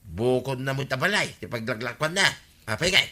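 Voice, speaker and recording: A man's voice: medium pitch, expressive, brisk, bright, forceful tone. A man acting a scolding, plain-spoken villager in a radio comedy-drama, with an Ilocano accent. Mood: grumbling.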